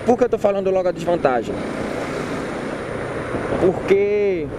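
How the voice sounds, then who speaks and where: A man's voice: sits high, animated, a little slow. A man talking casually and informally while riding a motorcycle in city traffic, repeating himself and using slang. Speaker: motovlogger. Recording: loud road and wind noise. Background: loud traffic and engine noise.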